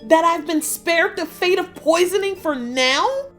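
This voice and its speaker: A man voicing an angry, grieving young princess in a dark fairy tale, shrieking and imperious. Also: very high-pitched, a little slow, faint music behind, pitched above the performer's own voice. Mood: furious, bitter.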